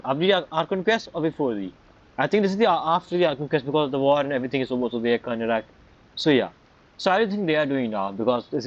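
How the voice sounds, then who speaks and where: A man's voice: on the high side, lo-fi sound. A man giving casual game playthrough commentary, musing aloud with short reactions and questions. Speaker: game streamer.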